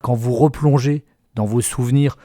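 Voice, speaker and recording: A man hosts a local radio interview and keeps his questions lively and friendly. His voice sits in the middle range, and it is recorded dry and close in a studio. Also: medium pitch, normal speed, no echo. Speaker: radio host.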